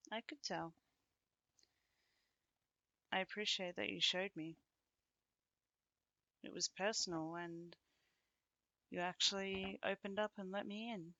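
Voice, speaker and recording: Casting: actress playing a fond, teasing woman companion for intimate ASMR roleplay; bright tone, slow conversational delivery with pauses. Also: lowish voice, no echo, lo-fi sound. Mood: tender, reflective. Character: affectionate, teasing woman, close companion of the listener.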